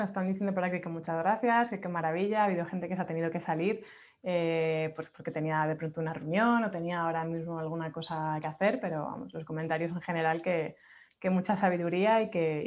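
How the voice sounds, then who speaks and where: Woman moderating, slightly low, dull call audio. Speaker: moderator of an online question session. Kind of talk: casual webinar moderating, relaying questions.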